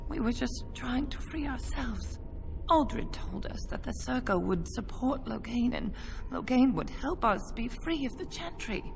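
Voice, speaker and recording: A woman's voice: medium pitch, creaky. An actress playing a desperate, defiant rebel blood mage in a video game, impassioned in her delivery. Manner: pleading, impassioned. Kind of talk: dramatic video game acting.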